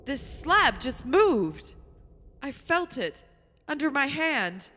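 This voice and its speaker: Woman as a spirited young daughter, echoey high voice. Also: big pitch swings, takes it slowly, bright, forceful tone, full character voice. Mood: alarmed. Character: spirited young engaged daughter, wry and curious. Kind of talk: radio play acting. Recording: echoey hall, faint background hiss.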